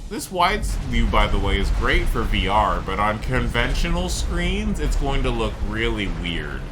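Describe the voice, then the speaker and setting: A man's voice: mid-range voice, sing-song, unhurried, over music. A man giving casual, rambling, explanatory commentary on a game during a live stream, as the streamer.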